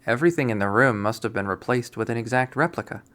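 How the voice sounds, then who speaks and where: A man narrating a visual novel readthrough in a deliberate, storytelling manner. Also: medium pitch, animated, fairly bright tone. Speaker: game streamer reading a visual novel aloud.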